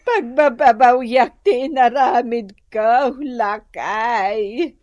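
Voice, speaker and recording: A woman's voice: a little high, extremely slow, darkish tone, no room sound, dull audio. A woman with an Ilocano accent playing a remorseful, tearful wife in a radio drama. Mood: distraught, remorseful. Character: remorseful, tearful wife pleading with her husband.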